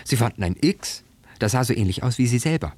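A man reading a children's story aloud in an expressive, scripted storytelling style. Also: medium pitch, fairly quick, dry recording. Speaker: story reader.

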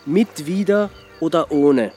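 A man with an Austrian accent playing an insistent, probing amateur sleuth in a radio drama, pressing and sometimes halting. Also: fairly high pitch, warm tone, faint music behind. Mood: urgent, insistent.

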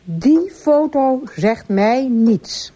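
An actress playing a stern, cool, curt upper-class sister in a radio drama, on a slightly dull recording. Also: female voice, high-pitched, expressive, drawn-out words, dark tone, exaggerated voice. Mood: curt, disapproving.

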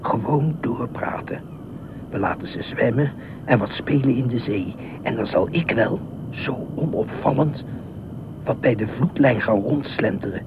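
A man's voice: mid-range voice, measured pace, close to an everyday voice. A man acting in a radio play as an eccentric, middle-aged self-appointed beach watchman, officious, rambling and easily indignant. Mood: confiding, self-important. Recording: noisy, tinny phone-like radio drama recording.

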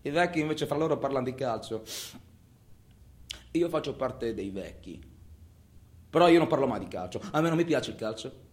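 An actor playing a long-serving, solitary, sardonic prison inmate obsessed with order, in a colloquial, profane theatrical monologue. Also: male voice, mid-range voice, lively intonation, lightly acted. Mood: resigned, confiding. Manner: swings from muttered confiding to barked, drawn-out announcements.

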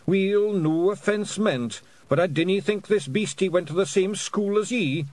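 A man's voice: sits high, unhurried, a little mellow, pitched above the performer's own voice. A man voicing a small, simple, blunt Scottish pixie fighter in thick dialect for a storybook. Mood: doubtful.

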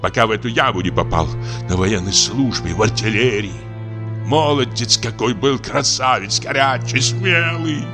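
A man's voice: mid-range voice, moderate pace, voice pushed up, natural voice. A man voicing a drunken, lonely comic actor of fifty-eight, frightened and maudlin, lamenting in a feverish, breathless, theatrical way. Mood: proud, nostalgic. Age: 50s. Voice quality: breathless, trembling. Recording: music under the voice. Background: music.

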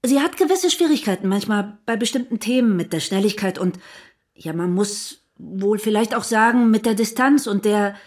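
Actress playing woman publisher, medium pitch, diplomatic, hesitant phrasing, persistent, naturalistic radio drama. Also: expressive, normal speed, a little mellow, close to an everyday voice. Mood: hesitant, concerned. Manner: hesitant, diplomatic, searches for words. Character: careful, tactful but persistent woman publisher.

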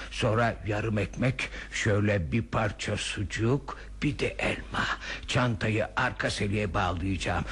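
Dreamy old man, creaky voice. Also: mid-range voice, a bit bright, exaggerated voice. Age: older. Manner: halting, rambling, daydreaming. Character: wistful, dreamy old man longing for a bicycle. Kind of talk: radio theatre acting. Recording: radio play with some background noise.

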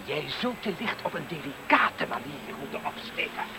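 An actor playing a pompous, curious rich gentleman who orders his butler about in a radio play. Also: fairly high pitch, expressive, moderate pace, gravelly. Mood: exasperated, commanding. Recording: hissy 1970s radio play recording.

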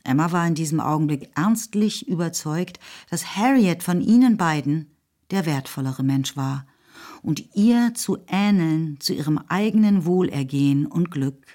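Low-voiced woman narrating a literary radio drama. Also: animated, unhurried, mellow. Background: music.

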